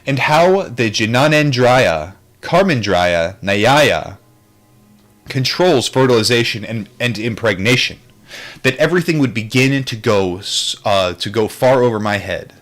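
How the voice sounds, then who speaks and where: A man's voice: medium pitch, lively intonation, a little slow, fairly bright tone. A man reading aloud from a book in an informal way, sometimes adding casual commentary and stumbling over difficult terms. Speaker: book reader, streamer.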